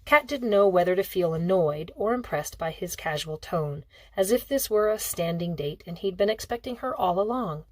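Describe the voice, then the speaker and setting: A woman's voice: lowish voice, animated, moderate pace, few pauses, a little mellow, no room sound. A woman reading a novel aloud as scripted audiobook narration. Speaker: audiobook reader.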